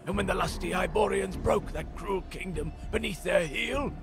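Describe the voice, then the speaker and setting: High, creaky old seer. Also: male voice, normal speed, music in the background. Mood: ominous. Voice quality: raspy, creaky. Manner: portentous, archaic, declamatory. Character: old limping male seer, grave and urgent. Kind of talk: theatrical fantasy audio drama acting.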